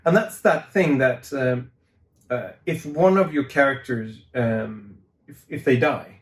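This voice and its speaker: A man's voice: medium pitch, slow. A man in casual Q&A chat about making games, rambling and correcting himself, laughing often. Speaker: game studio staff, co-host. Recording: echoey room.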